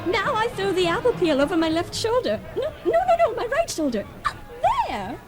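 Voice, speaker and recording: Very shrill, flighty society lady. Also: big pitch swings, fairly quick, over music. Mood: fluttery, excited. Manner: fluttery, affected, exclamatory. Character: flighty, fussy, tippling society lady, superstitious. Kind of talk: old-time radio play acting.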